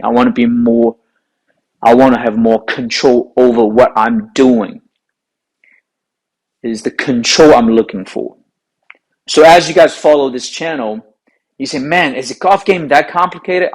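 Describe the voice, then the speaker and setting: A man's voice: mid-range voice, rough recording. A man with a Chinese accent, whose first language is Chinese, giving an earnest, emphatic golf lesson to camera. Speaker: golf coach. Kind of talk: instructional talk to camera.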